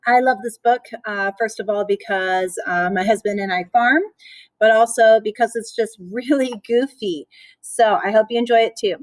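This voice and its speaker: A woman reading a picture book aloud for children in a playful, expressive way, with chatty asides. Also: on the high side, moderate pace, dry recording.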